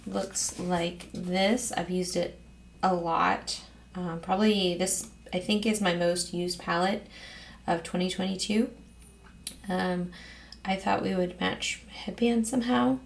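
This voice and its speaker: A woman with a hoarse, raspy voice, chatting casually as host of her own get-ready-with-me vlog at home, rambling with frequent ums. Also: lowish voice, steady tone, slow pace, slight background noise. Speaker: beauty vlogger host.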